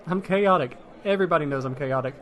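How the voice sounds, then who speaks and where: An actor playing a matter-of-fact fire mage turned detective, talking conversationally in a comedic fantasy audio drama. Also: male voice, a little high, quick pace, fairly bright tone, a faint crowd, natural voice. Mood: determined.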